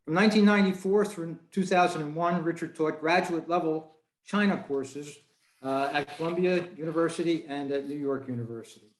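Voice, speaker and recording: American man, fairly high voice, formal prepared introduction, club presenter, echoey video call sound. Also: brisk. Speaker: club member introducing the guest.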